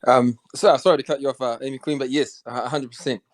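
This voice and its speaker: A man's voice: mid-range voice, fairly even intonation, quick pace, dry recording. A young man, hosting a casual voice chat in a friendly, slangy way. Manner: friendly, slangy, calls people bro. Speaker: host.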